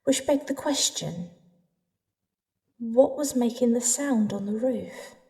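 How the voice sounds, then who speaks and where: A woman with a British accent playing a lonely, introspective woman in an audio drama, speaking intimately with deliberate pauses. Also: a little high, creaky, a little mellow. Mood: reflective, uneasy. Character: lonely, introspective woman telling her dark love story. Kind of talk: dramatic first-person audio drama narration and dialogue.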